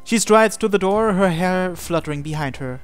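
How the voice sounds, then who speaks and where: A man's voice: sits high, normal speed, smooth, no room sound, faint music behind. A man hosting a game video, reading visual novel text aloud and adding his own asides. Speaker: gaming video host.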